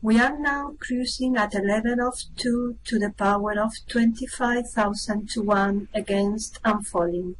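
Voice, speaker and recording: A woman plays a calm starship officer in an amateur radio play. Her voice is slightly high and slow, measured and reassuring, with faint noise behind it. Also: natural voice. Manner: measured, formal.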